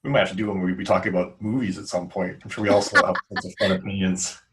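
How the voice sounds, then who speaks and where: Fast-talking, low-voiced man hosting a podcast. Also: level tone, fairly bright tone, poor audio. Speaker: podcast host. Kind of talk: casual group podcast chat.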